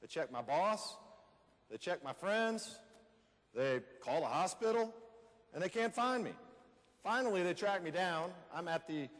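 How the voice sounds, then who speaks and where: A man's voice: high-pitched, animated, a little slow, long pauses, a bit bright. A man with an American accent playing a plainspoken man who recounts his wrongful jailing as a teenager in a readers theater monologue. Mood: aggrieved, matter-of-fact. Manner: conversational storytelling with emphatic short phrases. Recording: reverberant hall.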